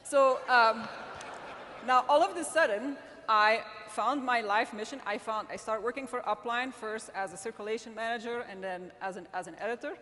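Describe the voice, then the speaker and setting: A woman's voice: a little high, normal speed, sharp tone. A middle-aged woman from Belgium, speaking English as a later-learned language, giving an earnest talk to an audience with frequent restarts. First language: not English. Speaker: magazine editor-in-chief speaking at a summit. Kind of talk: conference talk to a live audience. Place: large event hall. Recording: long hall reverb.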